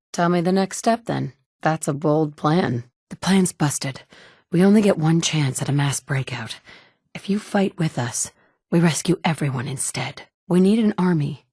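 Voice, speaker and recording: A woman's voice: low voice, a little mellow, breathy edge, dry recording, studio quality, full character voice. An actress voicing a resolute adult woman survivor in a video game, firm and direct with a sarcastic edge. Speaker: player character. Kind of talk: game voice acting, dialogue lines.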